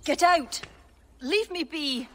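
High-voiced, bitter druid woman. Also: expressive, a bit bright, slight background noise, full character voice. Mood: anguished anger. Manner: slow, weighty, dramatic delivery. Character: bitter, defiant druid woman, grieving and fiercely protective of her people. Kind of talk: dramatic video game acting.

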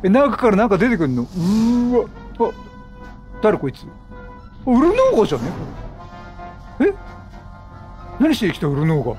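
A man giving casual, chatty game commentary, exclaiming and reacting out loud. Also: high voice, huge pitch swings, normal speed, long pauses, soft background music. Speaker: gaming commentator.

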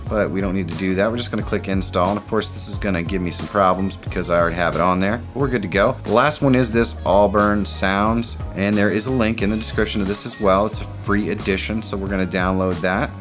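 A middle-aged man hosting a software tutorial, explaining each step in a plain, methodical way from a home studio. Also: on the low side. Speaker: tutorial host.

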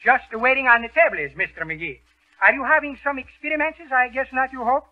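Greek immigrant restaurateur, radio comedy: very high voice, fractured English, thin vintage broadcast sound. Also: male voice, a little fast. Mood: genial, garbled. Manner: garbled grammar, malapropisms. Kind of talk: 1930s radio comedy acting. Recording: muffled, phone-like 1930s radio recording.

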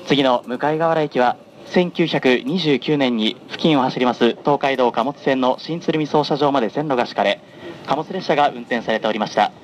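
Male train announcer over clear carriage noise. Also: medium pitch, poor audio. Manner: formal, measured, polite. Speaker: train conductor making onboard announcements. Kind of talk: formal scripted train announcement. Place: onboard a running train, over the announcement system. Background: train running noise.

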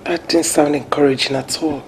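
A Nigerian woman acting a calm, reasoning wife in a domestic film drama, measured and soothing. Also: deep voice, a little hiss or hum, lightly acted, rough recording. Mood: reassuring.

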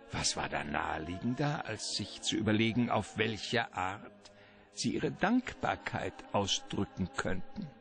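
An actor playing a genteel, long-winded villain obsessed with treasure in a radio drama, florid and courteous. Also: male voice, medium pitch. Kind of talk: radio drama acting, storytelling monologue.

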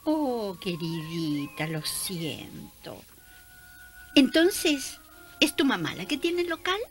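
A woman playing a gentle, cheerful young missionary nun in a radio drama, affectionate and giggly. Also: medium pitch, very animated, slow pace, soft background music. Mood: sympathetic.

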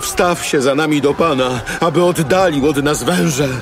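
A man's voice: fairly high pitch, measured pace, noisy background. An actor playing a repentant Israelite man, contrite and imploring, in a biblical radio drama. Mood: contrite pleading.

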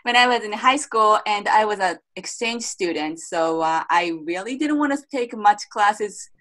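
Woman, Japanese accent, interview guest, relaxed and chatty, middle pitch, webcam sound. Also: normal speed. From Japan, Gifu. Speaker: guest musician. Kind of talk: casual interview answers. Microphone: webcam video call.

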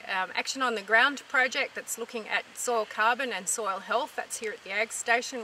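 Middle-aged woman, Australian accent, explanatory, middle pitch, distant outdoor recording, faint noise. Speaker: farming group representative. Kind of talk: informative interview talk. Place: outdoors in open dry farmland.

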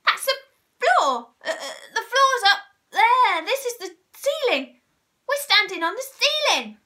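Brief: shrieking, overwrought storybook wife, played by a woman, piercing high voice, bossy and panicky. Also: very animated, a little slow, sharp tone, exaggerated voice. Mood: hysterical panic.

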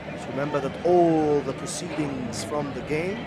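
A man giving excitable live play-by-play commentary on a football match. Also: on the high side, moderate pace. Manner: excitable, rising to shouts at chances. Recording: loud crowd noise behind commentary. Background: stadium crowd roar.

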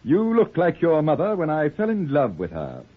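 An old, dulled radio play recording. a breezy runaway husband with dry sarcasm. His slightly high, husky voice swings widely as he delivers his theatrical retorts. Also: wildly swooping pitch, moderate pace, phone-quality sound, exaggerated voice. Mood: wry, wounded. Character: wry runaway husband and father, rebellious and breezy. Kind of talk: 1940s radio acting.

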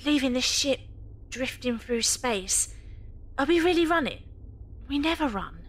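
A woman's voice: high-pitched, expressive, measured pace, heavily acted. A woman playing a spirited, questioning female adventurer in an amateur audio drama. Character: spirited, questioning female companion to a time traveller.

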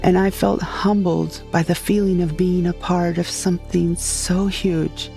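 A woman narrating a scripted first-person comedic sci-fi audio drama in a deadpan storytelling manner. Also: fairly low pitch, measured pace, creaky. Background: music.

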